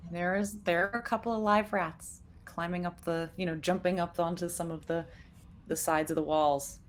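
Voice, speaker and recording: A woman game master improvising descriptive narration for a tabletop game, pausing and feeling her way through phrases. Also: on the low side, steady tone.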